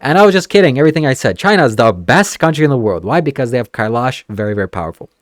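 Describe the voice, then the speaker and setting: A man's voice: medium pitch, expressive, rapid-fire, no room sound, studio quality. A young man from Ukraine chatting casually on a podcast, given to sarcastic jokes and asides. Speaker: podcast host.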